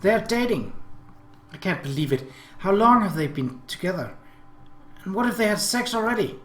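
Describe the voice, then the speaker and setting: A man's voice: high-pitched, animated, moderate pace, fairly bright tone, echoey room, pitched above the performer's own voice. A man voicing a jealous, hot-tempered teenage sister in a visual novel, indignant and foul-mouthed. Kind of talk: visual novel dialogue read-aloud.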